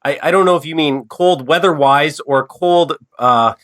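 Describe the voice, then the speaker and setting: A man's voice: a little high, normal speed, fairly bright tone. A Canadian man hosting a casual business podcast, a little stuffed up from a cold, talking loosely with fillers and restarts. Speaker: podcast host.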